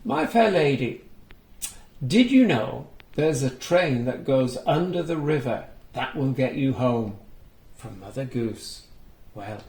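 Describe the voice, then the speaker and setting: A man reading a children's storybook aloud, expressively, with gentle chatty asides to young listeners. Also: medium pitch, big pitch swings, slow, reverberant.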